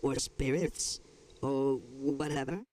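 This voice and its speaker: A man's voice: medium pitch, steady tone, slow pace, darkish tone, a little hiss or hum, natural voice. A man acting as a skeptical scientist in an audio drama, questioning and challenging in a conversational way. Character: skeptical, probing scientist colleague.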